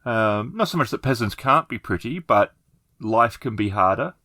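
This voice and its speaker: A man's voice: mid-range voice, animated, normal speed, pushed and bright, no echo, studio quality. A man working as game master, improvising casual scene descriptions for a tabletop game and circling back to rephrase.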